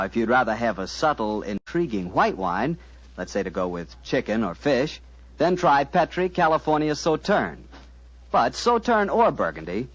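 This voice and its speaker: Male forties radio announcer, husky, very lively intonation, folksy pitchman delivery, muffled vintage recording. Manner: folksy, smooth-talking salesmanship. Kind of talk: 1940s radio sponsor read, scripted.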